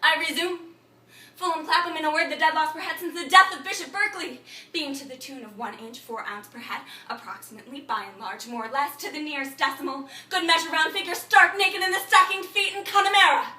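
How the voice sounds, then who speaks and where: Woman acting a high, frantic babbling thinker, echoey. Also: fast talker. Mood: rambling, urgent. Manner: incantatory, repetitive, swinging from muttering to shouting. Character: frantic, babbling thinker reciting a broken learned tirade. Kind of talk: absurdist stage monologue rehearsal. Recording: echoey room, distant microphone.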